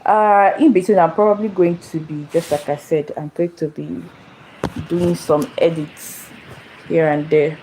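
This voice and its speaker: A woman's voice: sits low, fairly even intonation, unhurried, warm tone, a little hiss or hum, low-quality recording. A young woman from Nigeria hosting a casual phone livestream, rambling and repeating herself as she greets viewers. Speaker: channel host. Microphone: phone camera.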